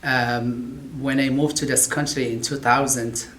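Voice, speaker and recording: A man's voice: medium pitch, steady tone, a bit bright. Brief: middle-aged non-native English man, professor in an interview, hesitant with constant "uh"s, echoey room, light hiss. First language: not English. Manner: halting, many "uh" fillers and restarts. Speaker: occupational therapy professor. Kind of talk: interview talk about his field.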